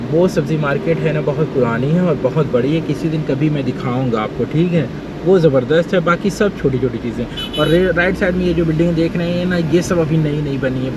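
Chatty man narrating a drive over road noise. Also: a little high. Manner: chatty, keeps saying "ṭhīk hai" and pointing out sights. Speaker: vlogger narrating a city drive. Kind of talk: casual running commentary while driving. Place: inside a car on a city road. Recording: distant mic with loud car and road noise.

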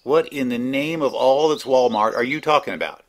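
A man's voice: medium pitch, normal speed, natural voice. A man playing an unflappable, obtuse and folksy rustic in an absurdist radio comedy. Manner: folksy, deadpan wordplay. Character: obtuse, unflappable rustic country buddy, cheerfully pedantic.

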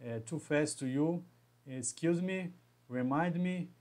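A man in his thirties with a Brazilian Portuguese accent, hosting a live stream from a small home room into a webcam and talking haltingly, restarting and repeating words as he goes. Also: a little high, a little mellow. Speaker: engineering educator hosting a live session. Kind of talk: live stream talk to viewers.

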